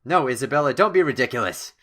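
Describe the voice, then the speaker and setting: A man's voice: mid-range voice, lively intonation, quick pace, a bit bright. A man hosting a gaming video, reading game text aloud theatrically with jokey asides and the odd stumble over words. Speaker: host of a gaming channel. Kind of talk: let's play, reading game text aloud with joking commentary.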